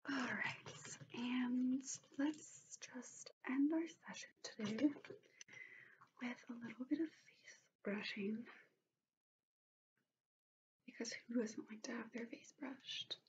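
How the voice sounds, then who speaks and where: A woman in her twenties doing gentle, soothing ASMR in a soft, breathy whisper. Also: a little high, slow, long pauses, slight background noise. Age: 20s. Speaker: ASMR host. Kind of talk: whispered ASMR personal-attention roleplay. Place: small bedroom, indoors. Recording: dull lo-fi webcam-style sound.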